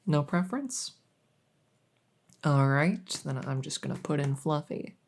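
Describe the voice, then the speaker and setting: A woman playing a polite, hesitant, matter-of-fact night vet in an ASMR audio roleplay, with a creaky voice. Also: sits low, animated, unhurried, full character voice. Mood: professional, reassuring. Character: soft-spoken, polite night vet receiving a supernatural patient.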